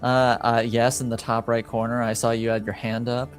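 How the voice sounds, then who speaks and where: Mid-pitched man running a game. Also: level tone, normal speed, soft background music. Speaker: game master. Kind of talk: casual banter while hosting a tabletop game.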